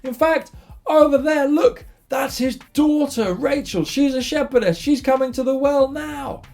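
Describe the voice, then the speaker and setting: A man's voice: sits high, steady tone, normal speed, warm tone, faint music behind, voice pushed up, lightly acted. A man voicing friendly, laid-back male shepherds in a casual, exclamatory way for a told story. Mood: bright, helpful.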